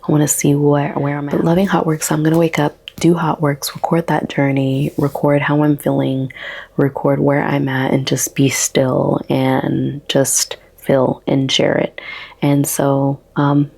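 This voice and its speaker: A woman in her thirties with a soft, relaxing voice, talking slowly and haltingly in a casual daily vlog. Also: low voice, level tone, creaky, slightly rough. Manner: slow, halting, with many ums. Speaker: vlogger.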